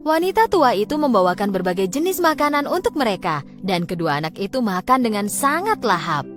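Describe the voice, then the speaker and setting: A woman narrating a scripted children's fairy tale as storyteller, in an expressive, dramatic way. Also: mid-range voice, brisk, music in the background.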